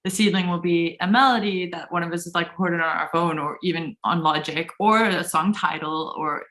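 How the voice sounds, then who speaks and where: A woman musician from Denmark answering interview questions in a relaxed, thoughtful way. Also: on the low side, brisk. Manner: relaxed, thoughtful, trailing off and restarting.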